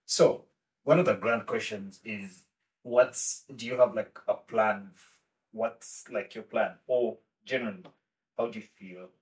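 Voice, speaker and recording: Low-voiced man interviewing from off-mic. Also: measured pace. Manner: questioning, hesitant with many fillers. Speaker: podcast host. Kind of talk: casual interview. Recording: distant from the mic.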